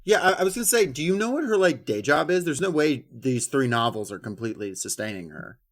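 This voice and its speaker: A man with a lively, swinging intonation and a medium pitch, co-hosting a podcast. He chats casually in a laid-back, quippy manner. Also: quick pace. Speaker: podcast co-host. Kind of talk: casual chat.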